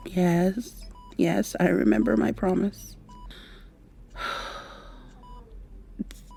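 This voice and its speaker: A woman playing a devoted, worried partner of a sick loved one, speaking tenderly and haltingly to the listener in an intimate ASMR roleplay. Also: fairly low pitch, mellow, full character voice. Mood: warm, sheepish. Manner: tender, soothing, halting and reassuring.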